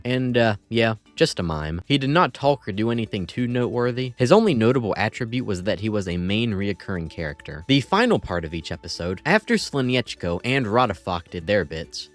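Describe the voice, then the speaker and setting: A man hosting a video essay, narrating a script casually with wry, conversational asides. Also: lowish voice. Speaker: host of an online video essay channel.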